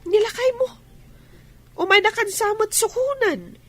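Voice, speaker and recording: High-pitched, insistent village woman played by an actress. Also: lively intonation, unhurried, fairly bright tone, faint music behind, full character voice. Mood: urgent. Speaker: radio drama voice actor. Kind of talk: Ilocano radio drama acting.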